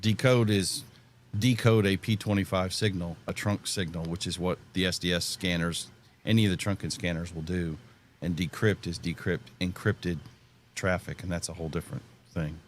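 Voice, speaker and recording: A man's voice: low-pitched, steady tone, moderate pace, a little hiss or hum. A middle-aged American man in casual conversation, explaining things in a folksy, plain-spoken way. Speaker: podcast panelist and ham radio hobbyist.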